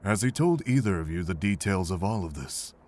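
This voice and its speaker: A man voicing a weary, kindly older monk with a measured, earnest way of telling things, in a fan visual novel. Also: low voice, animated, a little mellow. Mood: grave, earnest.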